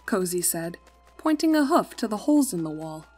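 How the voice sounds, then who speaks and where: Mid-pitched woman narrating a story. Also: sing-song, moderate pace, darkish tone, faint music behind. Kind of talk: scripted storybook narration of fan fiction.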